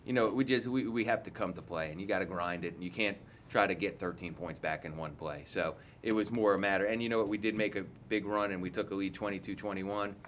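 A man's voice: deep voice, quick pace, few pauses, fairly bright tone, slight background noise. A man in his forties, a head coach, giving candid, rambling post-game answers full of restarts, seated at a table mic in an indoor press room.

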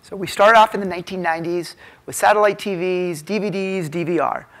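Higher-voiced American man presenting on stage. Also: steady tone, fairly bright tone. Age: 30s. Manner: halting, filler-heavy, informal delivery. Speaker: keynote speaker, tech executive. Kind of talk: informal conference presentation. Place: hotel conference ballroom stage, indoors. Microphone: lapel mic.

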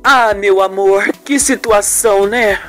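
A performer voicing a calm, determined woman in a radio soap, speaking firmly and deliberately. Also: mid-range voice, soft background music, voice pushed up. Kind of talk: radio soap opera acting.

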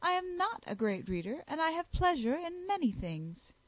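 A woman reading aloud, voicing a spirited, witty young woman with a brisk, firm manner. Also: very high-pitched, very animated, dry recording, pitched above the performer's own voice, poor audio.

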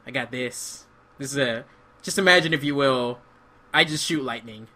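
A man with a bright, forceful voice talks through a co-op video game. He speaks casually, with a joking, rambling manner, like a player talking to a teammate. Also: mid-range voice, normal speed. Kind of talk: casual co-op gameplay chat.

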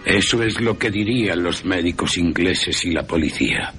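A man voicing a learned, patient professor who hunts vampires, measured and emphatic, with a Castilian Spanish accent, in radio storytelling. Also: deep voice, a bit bright, soft background music. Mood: grave, explaining.

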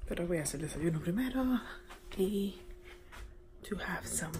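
A woman's voice: lowish voice, animated, normal speed, background noise. A middle-aged woman, chatting casually to camera at home and switching between Spanish and English. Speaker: vlogger. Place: at home indoors, later in a car. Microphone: phone or camera at arm's length.

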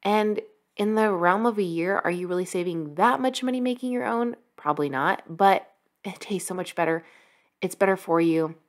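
A woman's voice: mid-range voice, animated, normal speed. A young adult woman chatting casually over a home cooking vlog, upbeat and full of filler words. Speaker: vlogger. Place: home kitchen.

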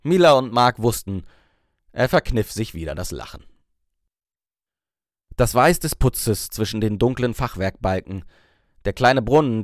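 A man's voice: lowish voice, dry recording, clean studio sound. A man reading a story aloud as an audiobook narrator in scripted storytelling style.

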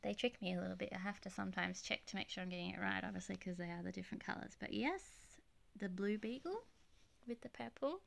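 Chatty woman with a gravelly voice. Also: medium pitch, fast. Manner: gushing, chatty, soft giggles. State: slightly croaky from illness. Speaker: plush collector YouTuber. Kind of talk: casual show-and-tell narration. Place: bedroom with plush toys on display. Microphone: handheld phone camera, off-camera voice.

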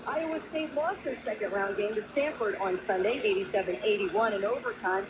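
A woman's voice: medium pitch, fairly quick. A woman reading a scripted sports report as a radio reporter. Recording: dull, noisy AM radio reception.

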